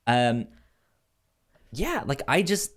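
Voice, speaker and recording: A man chatting casually about a film as a podcast co-host, with a halting, stammering delivery. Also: mid-range voice, sing-song, fairly bright tone.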